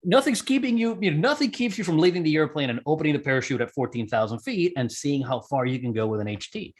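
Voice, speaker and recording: A man's voice: on the high side, expressive, quick pace. A man from Puerto Rico telling his story casually as an interview guest, colloquial and acting out his own thoughts. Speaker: interview guest, amateur radio operator and skydiver.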